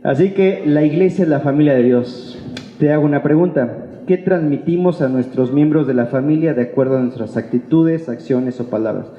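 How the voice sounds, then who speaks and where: High-voiced Mexican preacher in an echoey hall. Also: male voice, moderate pace. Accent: Mexican Spanish. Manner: colloquial, playful, acts out imagined remarks and asks rhetorical questions. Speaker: pastor preaching to a church congregation. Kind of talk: informal sermon. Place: reverberant church hall. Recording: echoey hall, long reverb, dull sound.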